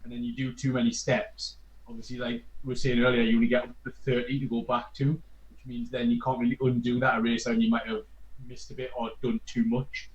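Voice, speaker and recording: A man co-hosting an online workshop, adding technical asides and jokes in a fairly flat delivery. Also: medium pitch, fairly quick. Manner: joking, adds technical asides, calls himself monotone. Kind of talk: casual co-hosting banter. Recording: echoey, dull-sounding call audio.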